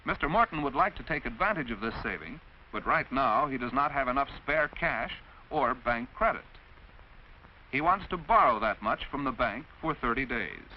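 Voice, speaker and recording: A man's voice: medium pitch, animated. American man narrating a crackly vintage educational film. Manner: didactic, measured, clearly enunciated explanation. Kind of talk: mid-century educational film narration, scripted. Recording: dull, noisy vintage film soundtrack.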